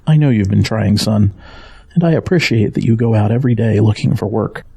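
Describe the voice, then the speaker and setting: An actor playing a gentle, worried middle-aged father in an audio drama, speaking in a measured, earnest way with a husky voice. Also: on the low side. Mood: earnest, reassuring.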